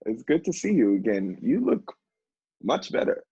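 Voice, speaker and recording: A man acting as an earnest, kindly office assistant in a stage play. His voice is husky and a little breathy, with gently hesitant delivery. It is a dry but slightly dull recording. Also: mid-range voice, wildly swooping pitch, normal speed, no room sound. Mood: gentle, awkward. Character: Sam, earnest, kindly sober male literary assistant.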